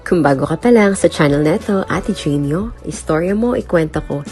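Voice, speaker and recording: A woman with a very low, mellow voice hosts a story channel. She delivers a scripted intro over music. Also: lively intonation, a little fast. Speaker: channel host.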